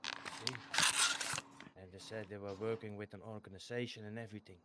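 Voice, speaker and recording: A man's voice: lowish voice, fairly even intonation, very noisy, lightly acted. A man voicing a hapless civilian with a foreign accent who gives a rambling, stammering statement in an online roleplay game. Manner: halting and rambling, full of stammered repeats. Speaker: witness giving a statement.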